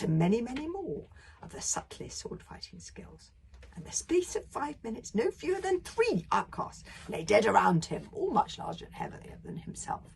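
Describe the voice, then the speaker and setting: A woman's voice: fairly low pitch, a little hiss or hum. A woman author reading her children's book aloud, dropping into chatty, whispered asides. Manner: warm aside-prone, drops to whispers.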